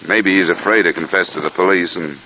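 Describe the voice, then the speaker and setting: An actor playing a dry, businesslike American insurance investigator in a radio detective drama, terse and deadpan. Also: male voice, low voice, brisk. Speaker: lead and narrator. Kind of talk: 1950s radio detective drama, narration and dialogue. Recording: noisy old radio recording.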